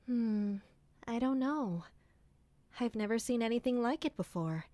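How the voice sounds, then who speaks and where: A woman's voice: on the high side, lively intonation, unhurried, warm tone, no room sound. An actress playing a deferential, reassuring attendant to a noblewoman in an audio drama, courteous and helpful in manner. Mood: reassuring, thoughtful.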